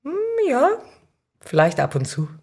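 A woman's voice: low-pitched, sing-song, unhurried, creaky, darkish tone, breathy edge. A woman guest on a podcast, chatting casually in a reflective, slightly hesitant way. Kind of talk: casual conversational chat.

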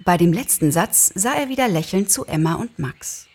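A woman narrating a story in a lively, dramatized reading. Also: fairly low pitch, normal speed, husky. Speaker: narrator and reader.

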